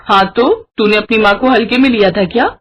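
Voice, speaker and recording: A woman voicing a fond, teasing village mother in a cartoon moral story, affectionate and gently scolding. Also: a little high, expressive, brisk, slight background noise.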